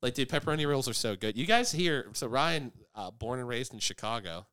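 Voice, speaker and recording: A man's voice: mid-range voice, lively intonation, fairly quick, a crowd in the background. A man in his thirties from Western Pennsylvania, hosting a podcast in loose, rambling chat full of swearing. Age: 30s. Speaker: podcast host.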